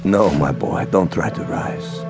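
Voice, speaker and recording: A man playing a grave monk in a radio drama, solemn and slow-spoken. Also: deep voice, music in the background. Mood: gentle, pious. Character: grave, gentle male monk at remote hermitage.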